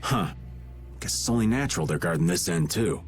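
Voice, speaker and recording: An actor playing a sly, easygoing middle-aged rogue in a video game. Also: male voice, low-pitched, animated, fairly quick, faint music behind. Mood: wry. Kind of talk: animated video game acting.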